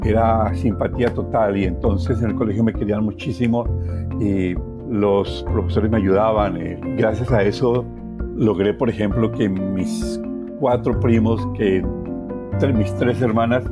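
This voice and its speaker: A man with a Colombian accent recounts his own life in an expressive, reflective way, repeating phrases for emphasis. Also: fairly low pitch, unhurried, loud music behind the voice. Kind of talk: personal oral storytelling.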